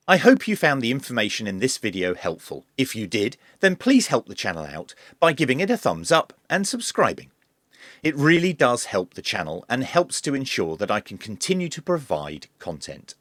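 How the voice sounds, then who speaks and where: A man hosting a hands-on tech demonstration, explaining each step in a measured, informal way. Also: mid-range voice, no echo, studio quality. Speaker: host of a radio tech review channel.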